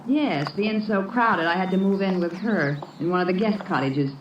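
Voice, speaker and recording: A woman's voice: fairly low pitch, moderate pace, distant mic, close to an everyday voice. An actress playing a suspicious, jealous, hot-tempered woman in an old-time radio drama. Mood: chatty. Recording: noisy, muffled, echoey old radio recording.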